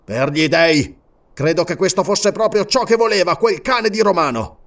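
A man's voice: fairly high pitch, very animated, normal speed, creaky, fairly bright tone, pitched above the performer's own voice, exaggerated voice. An Italian man narrating an audiobook and voicing a proud, furious barbarian king in disguise who swears vehement, declamatory oaths.

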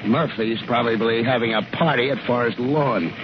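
An actor playing a flippant, sarcastic American hostage who keeps up glib wisecracks in a 1950s radio thriller. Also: male voice, medium pitch, fairly even intonation, moderate pace, lightly acted. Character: wisecracking, sarcastic Hollywood man held hostage at gunpoint. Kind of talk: 1950s radio drama acting. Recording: muffled, very noisy 1950s radio recording.

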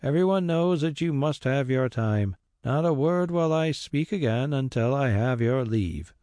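A man voicing a kindly squire over seventy, gentle and weary in manner. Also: medium pitch, expressive, moderate pace, no echo, studio quality, voice pushed up, natural voice. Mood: exasperated.

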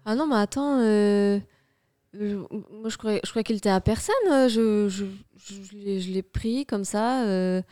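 A woman playing a defensive, unrepentant flatmate in an improvised radio comedy sketch, protesting and justifying herself in hesitant bursts. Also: medium pitch, a little slow, no room sound, studio quality, lightly acted. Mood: sheepish, defensive.

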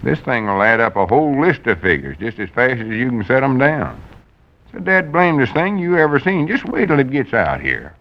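An actor playing an eager, gullible small-town storekeeper in an old-time radio comedy, with a rural Southern accent and earnest backwoods talk. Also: male voice, medium pitch, very animated, fairly quick. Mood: folksy insistence. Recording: muffled vintage radio recording.

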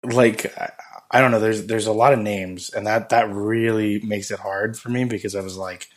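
A man co-hosting a podcast in casual chat, hoarse from losing his voice, rambling with many fillers. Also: lowish voice, steady tone, moderate pace. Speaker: podcast co-host.

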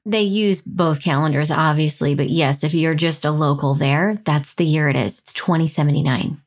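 A woman with a slightly low voice, speaking as a podcast co-host. Her delivery is casual and conversational, as if talking to fellow hosts and listeners. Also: moderate pace. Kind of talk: casual chat and reading listener mail.

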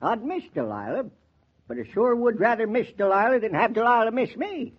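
A stubborn old rustic in his eighties, with a high, husky voice and a rural American accent. He grumbles and rambles in folksy, gloomy fashion. The sound is a dull, lo-fi 1940s radio drama recording. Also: very animated, a little fast, heavily acted. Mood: morose, stubborn. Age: 80s. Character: cantankerous, morbid old rustic man of eighty-six, stubborn neighbor.

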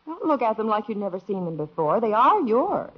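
A dry, scolding sitcom mom. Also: female voice, fairly high pitch, very animated, brisk, husky. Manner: gently scolding. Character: patient, dry-humored 1950s mother. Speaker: mother. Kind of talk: 1950s radio sitcom acting. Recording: dull old radio broadcast.

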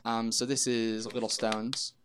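A man's voice: medium pitch, little pitch movement, a little slow, background noise. A man chatting casually in an interview as a member of a band. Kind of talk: casual chat.

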